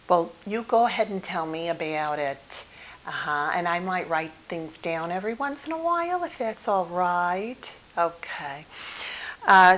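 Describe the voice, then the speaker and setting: Slow gentle lady playing a polite, slightly flustered therapist for asmr, halting, bit of hiss behind her. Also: lowish voice, rough recording. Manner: hesitant, halting, gently polite, with murmured acknowledgements. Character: polite, mildly flustered female psychotherapist, patient and attentive. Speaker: ASMR role-play performer. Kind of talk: ASMR role play, improvised one-sided conversation. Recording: clear background hiss.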